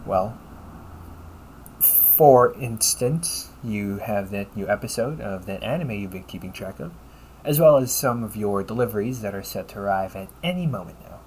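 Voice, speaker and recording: A man voicing an upbeat, teasing AI companion in an audio roleplay, polite and fussily helpful, with a gently nagging manner. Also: lowish voice, lively intonation, moderate pace, a little hiss or hum, natural voice, low-quality recording. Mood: helpful, chatty. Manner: polite, chirpy, gently nagging, butler-like phrasing. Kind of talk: scripted audio roleplay addressed to the listener.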